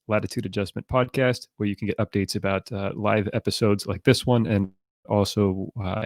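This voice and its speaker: A man's voice: on the low side, no echo, clean studio sound. Man hosting a live podcast. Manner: casual, with some fillers. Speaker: podcast host. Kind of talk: live podcast introduction and questions.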